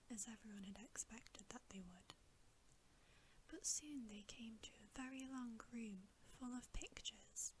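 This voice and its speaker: Female ASMR narrator for bedtime fiction: gentle breathy whisper, very unhurried delivery, slight background hiss. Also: fairly high pitch, low-quality recording. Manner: gentle, unhurried, soothing storytelling. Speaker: ASMR reader.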